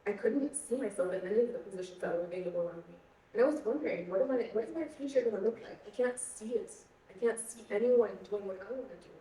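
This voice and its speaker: Young woman from Egypt, earnest panel guest, mellow tone, distant mic in a reverberant hall. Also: mid-range voice, quick pace, slight background noise. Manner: earnest, repeats words while thinking. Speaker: guest speaker. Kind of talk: panel conversation.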